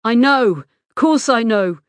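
A woman's voice: on the high side, unhurried, no echo, pitched above the performer's own voice, lightly acted. A woman voicing a distraught, guilty teenage schoolboy in an audiobook story. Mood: upset, pleading.

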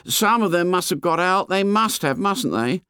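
A man's voice: high-pitched, lively intonation, moderate pace, bright, forceful tone, clean tone, no echo, studio quality, voice pushed up, heavily acted. A man putting on an eager, anxious fat schoolboy. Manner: eager, working-class boy's grammar.

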